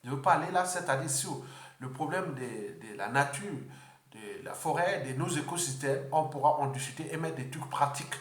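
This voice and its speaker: A man from the Republic of the Congo giving an unscripted political address, halting often and repeating words. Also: on the high side, animated, a bit bright, reverberant. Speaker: political speaker.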